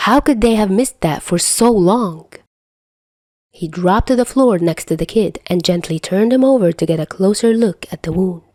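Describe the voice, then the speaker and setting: A woman's voice: medium pitch, darkish tone, dry recording. A woman reading fan fiction aloud, telling the story expressively into a close home mic. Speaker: podfic reader.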